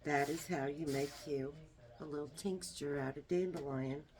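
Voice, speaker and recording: A woman with a small, soft voice, demonstrating a herbal remedy in a homey, unhurried way while she works. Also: low voice, steady tone. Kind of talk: casual how-to demonstration.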